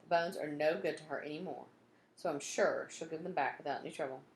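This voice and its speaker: Woman as courteous male museum director, measured, low-pitched, storybook read-aloud, roomy echo. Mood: calm, polite. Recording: roomy echo, some background noise.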